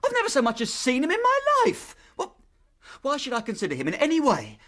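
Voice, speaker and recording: A man acting in a period radio drama, playing an earnest, excitable young Victorian clerk with a British accent and a polite, eager way of telling things. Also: very high-pitched, a little fast, heavily acted. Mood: indignant.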